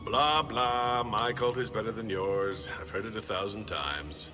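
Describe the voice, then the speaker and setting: A man's voice: lowish voice, unhurried, natural voice. An actor playing a blunt, questioning male hero in a fantasy video game, speaking in direct, terse lines. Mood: defiant. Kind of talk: game cutscene dialogue. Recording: echoing hall reverb under music.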